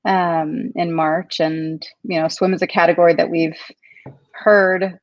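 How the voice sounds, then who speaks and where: A woman's voice: on the low side, flat delivery, unhurried, dry recording. A woman from Kuwait, a company founder, chatting in an interview in a thoughtful, upbeat way with many ums and you-knows. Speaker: company founder and CEO, guest. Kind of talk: casual interview conversation. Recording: dull, dry lo-fi sound.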